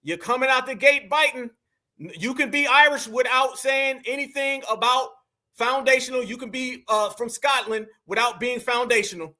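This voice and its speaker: Emphatic high-voiced man commenting on clips. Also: moderate pace, sharp tone. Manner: emphatic, addresses viewers as family. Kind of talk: casual reaction commentary.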